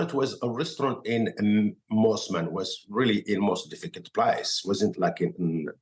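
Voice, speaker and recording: Man, Moroccan accent, Arabic native speaker, very low voice, hesitant broken English, podcast guest. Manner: halting non-native English, many fillers and restarts. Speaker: guest chef. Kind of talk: casual podcast interview.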